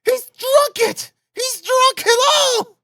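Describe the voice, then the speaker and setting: A man's voice: very high-pitched, slow, creaky, bright, forceful tone, no room sound, clean studio sound, voice pushed up, exaggerated voice. A man voicing a frenzied, despairing poor wife in an audiobook, shrieking and accusing frantically. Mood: screaming despair. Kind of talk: audiobook character acting.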